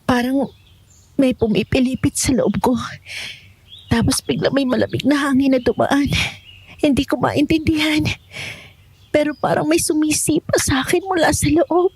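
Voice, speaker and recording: A woman's voice: sits high, fairly even intonation, normal speed, long pauses, darkish tone, no echo. A woman playing a frightened young pregnant wife in pain, pleading, in a horror audio drama. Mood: uneasy, confused.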